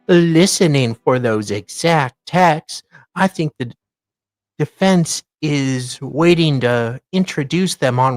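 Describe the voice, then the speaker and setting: A woman co-hosting a livestream, reading messages aloud in a halting, deliberate way with casual reactions. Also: low voice, dry recording. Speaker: livestream co-host. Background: music.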